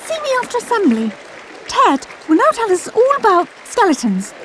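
Woman as a high, sing-song primary schoolteacher. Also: huge pitch swings, normal speed, fairly bright tone, some chatter behind, slight background noise, heavily acted, rough recording. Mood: stern, flustered. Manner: sing-song, addressing children. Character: bright, gushing primary school woman teacher. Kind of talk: children's audiobook character voice.

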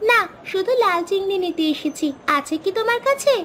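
A woman's voice: very high voice, lively intonation, a little fast, a bit bright, slight background noise. A woman voicing a confident, chatty eleven-year-old girl in a cartoon story, speaking in an eager, insistent manner. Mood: chatty, pert. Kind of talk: animated cartoon storytelling dialogue. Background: background music.

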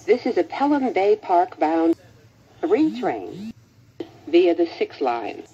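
An American woman reading scripted transit announcements in a measured, formal manner. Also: on the low side, very animated, warm tone, slightly rough, dull audio.

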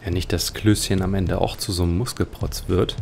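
A man's voice: sits low, fairly even intonation, brisk, gravelly, a little mellow, a little hiss or hum. A man giving casual, reflective commentary as he plays a game, musing as he goes. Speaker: let's player. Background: game music.

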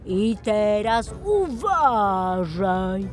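A woman's voice: medium pitch, sing-song, very slow. A woman voicing a dreamy toy digger who tells history like an old fairy tale for children. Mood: dreamy storytelling. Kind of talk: children's audio tale acting.

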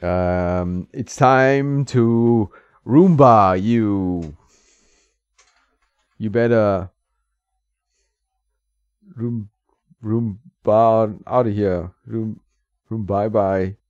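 A man's voice: fairly low pitch, drawn-out words, pauses often, darkish tone, clear, resonant tone, no echo. A man in his thirties giving casual, joking commentary while he plays a game at home, laughing often and talking to the screen. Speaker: gameplay streamer. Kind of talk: casual let's-play commentary. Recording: slightly dull, dry sound with game music.

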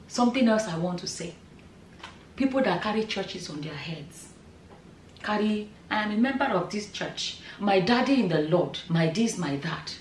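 A woman in her thirties with a Nigerian accent, giving animated opinion commentary straight to camera, full of rhetorical questions. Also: medium pitch, slight background noise. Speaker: vlogger. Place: bright room indoors, seated in chair. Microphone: far camera. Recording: roomy echo, mic at a distance.

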